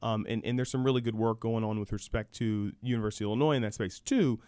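A man's voice: fairly low pitch, steady tone, fast talker, darkish tone, smooth, no echo. A man speaking as an expert guest in a sports talk interview, explaining things and repeating words for emphasis. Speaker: guest, university program director.

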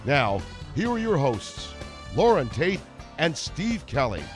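A man's voice: a little high, big pitch swings, takes it slowly. A man with an American accent announcing a scripted radio show introduction. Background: music bed.

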